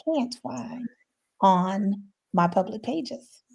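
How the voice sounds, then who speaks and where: A woman's voice: medium pitch, lively intonation, takes it slowly, creaky, a little mellow, husky. A woman in her fifties from Chicago, a marketing consultant chatting candidly on a video call with self-deprecating humor. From Chicago, Illinois. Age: 50s. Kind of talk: casual panel chat.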